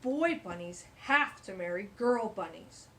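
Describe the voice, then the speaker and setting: A woman voicing a bossy, self-important male stink bug in a children's storybook, shouting emphatically and domineeringly, with some noise behind. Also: medium pitch, expressive, measured pace. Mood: bossy, angry.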